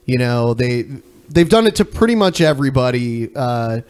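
A man's voice: mid-range voice, very animated, normal speed, darkish tone, smooth. A man co-hosting a podcast, giving blunt opinions in casual political banter. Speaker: podcast co-host.

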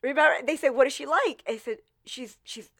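Eager high-voiced woman interviewer. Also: no room sound, clean studio sound. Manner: halting, repeats words, eager fan. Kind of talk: casual interview.

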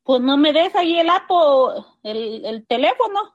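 A woman's voice: sits high, expressive, normal speed, no echo, lo-fi sound. A woman from El Salvador with a Salvadoran accent, bantering casually on a call-in show in a playful, cheeky way. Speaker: radio call-in participant.

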